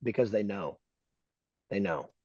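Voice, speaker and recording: A man's voice: lowish voice, lively intonation, measured pace, a little mellow, slightly rough, muffled recording. Blunt, swearing man ranting on a podcast. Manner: blunt, emphatic ranting with profanity. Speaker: podcast co-host. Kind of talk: casual podcast talk.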